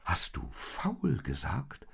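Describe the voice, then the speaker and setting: A man voicing a tender, eager young woman in a storybook tale, with breathy, gushing exclamations. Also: medium pitch, wildly swooping pitch, a little slow, a little mellow, pitched above the performer's own voice, exaggerated voice. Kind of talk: storybook character voice in narrated tale.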